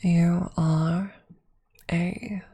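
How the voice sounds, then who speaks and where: A woman playing an affectionate, teasing female vampire lover in an intimate ASMR roleplay, speaking softly and sliding into whispers, with a husky, breathy voice. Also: on the low side, flat delivery, full character voice. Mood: playful, adoring. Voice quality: soft, breathy, husky with creak. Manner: slow, tender, lightly teasing.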